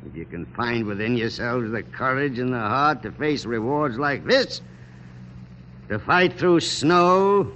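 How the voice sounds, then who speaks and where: A dull, hissy old radio drama recording of a weary but resolute male general. He speaks slowly and gravely, then swells into sweeping rhetoric with big rises and falls. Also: medium pitch, animated, fairly bright tone. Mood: rousing, impassioned. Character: weary, resolute American general leading ragged troops.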